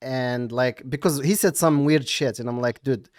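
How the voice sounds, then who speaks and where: A man's voice: medium pitch, a little fast, smooth, dry recording. Laid-back young man, dry close sound. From eastern Morocco. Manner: relaxed, mixes English with Moroccan Arabic. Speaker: streamer and host. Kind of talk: casual stream chat.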